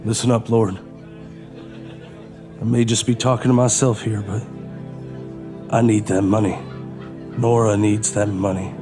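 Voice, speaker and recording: An actor playing a guarded, determined thief in an audio drama, with a terse, muttering delivery. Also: male voice, fairly low pitch, flat delivery, measured pace, pauses often, music in the background, natural voice. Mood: desperate, resolute. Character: tense, desperate male thief, guarded and determined.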